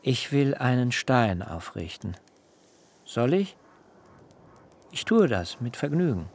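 An actor playing an earnest, devout, burdened patriarch in a literary radio drama, with deliberate elevated diction. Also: male voice, medium pitch, big pitch swings, darkish tone, full character voice. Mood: agreeable, earnest. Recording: music behind the voice.

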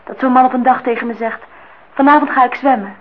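A woman's voice: sits high, quick pace, close to an everyday voice. A woman acting in a radio play as a defiant, idealistic young student, earnest and outspoken. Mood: earnest, tender. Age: young adult. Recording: dull, noisy old radio recording.

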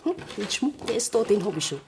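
An actress playing a practical, wary Bavarian woman in a radio play, brisk and furtive, with a Bavarian accent. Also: mid-range voice, big pitch swings, background noise. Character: practical, wary Bavarian woman grabbing loot before fleeing. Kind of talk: radio play acting in dialect.

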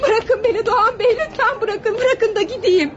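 A woman's voice: very high voice, moderate pace, crowd noise. An actress in a Turkish radio drama playing a desperate, humble young woman just freed from prison, pleading in a tearful, theatrical way. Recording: dull, noisy old radio recording.

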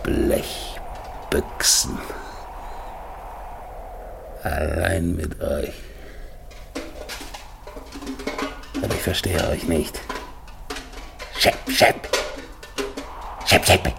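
An actor in a German radio play voicing a tormented, dying polar expedition leader who talks haltingly to himself, husky and gravelly. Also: male voice, rumbling low, steady tone, music in the background, full character voice. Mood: bewildered, haunted. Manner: halting, repeats words, swings between murmurs and desperate calls.

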